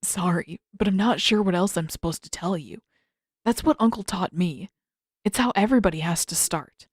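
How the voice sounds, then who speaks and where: Woman playing a low-voiced, reserved teenage boy. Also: moderate pace, husky, dry recording, studio quality. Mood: awkward. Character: reserved, earnest, wry teenage prince.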